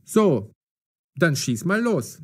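Commanding, mocking police detective. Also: male voice, on the high side, big pitch swings, takes it slowly, heavily acted. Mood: stern, probing. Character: gruff, mocking police detective, stern but fair. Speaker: voice actor. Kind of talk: audio drama for language learners.

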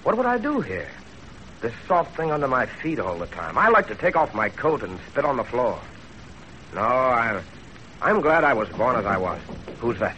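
An actor playing a proud, plain-spoken young revolutionary leader of peasant birth, in 1930s radio drama style. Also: male voice, medium pitch, big pitch swings, moderate pace, sharp tone. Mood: wondering, blunt. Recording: muffled, faintly noisy 1930s radio broadcast.

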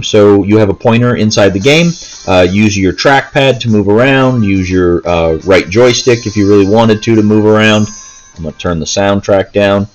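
A man's voice: fairly low pitch, low-quality recording. A man giving a casual, unscripted gameplay walkthrough in a hesitant, rambling way, often stopping mid-thought. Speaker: gaming video host. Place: indoors, seated, handheld console in hands.

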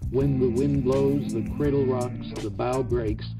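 A man reciting slowly as an archival narrator, on an old tape. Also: mid-range voice, steady tone. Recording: distant old tape under loud music.

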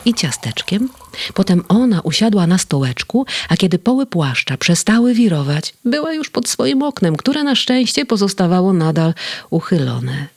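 A woman narrating a children's bedtime story slowly and tenderly. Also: on the low side, gravelly. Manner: slow and tender, with lively voice play. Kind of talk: storybook reading.

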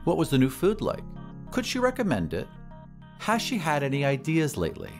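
A man narrating a scripted audio drama as a storyteller, in measured, literary phrasing. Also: on the high side, huge pitch swings, soft background music.

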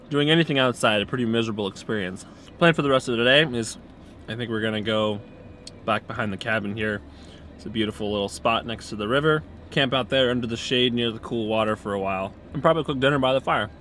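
A man's voice: on the low side, fast, a bit bright. A man in his thirties casually narrating a family vlog outdoors, chatting with his kids. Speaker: family vlogger. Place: outdoors by a cabin and river. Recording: outdoor camera audio with clear background noise.

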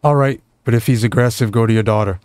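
A man's voice: medium pitch, fast talker, a little mellow, smooth. A man streaming a game, reading a police dispatcher's lines aloud.

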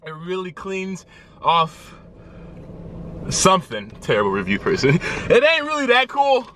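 A man's voice: high voice, wildly swooping pitch, unhurried, pushed and bright. A man in his twenties vlogging from his car on a dashboard camera, joking and swearing in casual banter. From Chicago area. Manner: joking, profane, mock-advertising banter. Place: parked car, indoors. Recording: clear road and engine noise. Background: car and street noise.

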